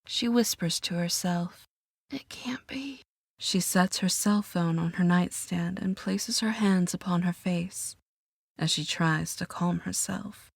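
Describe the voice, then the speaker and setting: A woman narrates a dramatic scripted story at a very unhurried pace. Her pitch sits somewhat low, and the recording is dry and close. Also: fairly even intonation, vocal fry, no echo.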